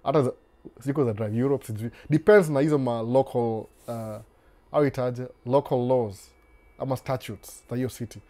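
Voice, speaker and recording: Mellow-voiced man, relaxed live-stream chat. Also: medium pitch. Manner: relaxed, unhurried, switches between Swahili and English mid-sentence; reads viewer comments aloud and responds to them. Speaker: live-stream host answering viewer questions.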